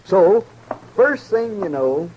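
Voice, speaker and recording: An actor playing a self-satisfied small-town frontier printer in a radio western, folksy, eager and boastful, with an American Western accent. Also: male voice, sits high, big pitch swings, slow, a little mellow, heavily acted. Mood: eager, proud. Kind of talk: 1950s radio western acting. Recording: noisy old 1950s radio recording.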